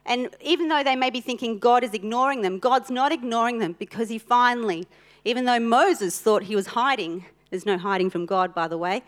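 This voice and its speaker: High-voiced woman preaching, Italian native speaker. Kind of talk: sermon with personal storytelling.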